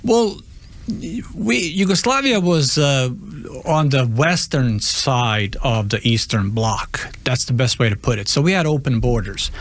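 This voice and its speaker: A man's voice: mid-range voice, expressive, unhurried. Man in his forties, from Serbia, chef guest, hesitant speech, radio studio. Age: 40s. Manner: hesitant, many fillers and restarts. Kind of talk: interview storytelling. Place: radio studio, seated at table. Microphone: studio boom mic.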